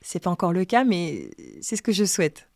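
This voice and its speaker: A woman speaking calmly and earnestly in a documentary interview. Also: lowish voice, normal speed, dry recording, clean studio sound. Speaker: sign-language singer interviewed.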